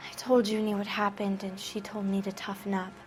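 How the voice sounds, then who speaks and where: A woman's voice: mid-range voice, monotone, a little fast, faint music behind, close to an everyday voice. An actress voicing a naive, frightened teenage girl who speaks in a timid, pleading way.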